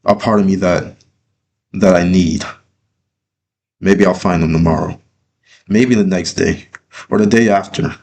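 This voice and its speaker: Low-pitched man narrating a dramatic story. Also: steady tone, a little slow, long pauses, a little mellow. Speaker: author reading own story.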